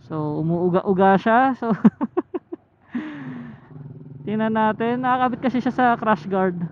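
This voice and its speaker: A motovlogger with a Filipino accent chats casually and thinks aloud in short halting phrases, speaking through a helmet intercom while riding. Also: mid-range voice. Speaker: motovlogger reviewing camera gear. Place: outdoors, riding a motorcycle. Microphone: motorcycle helmet intercom headset. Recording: tinny helmet intercom mic, road noise.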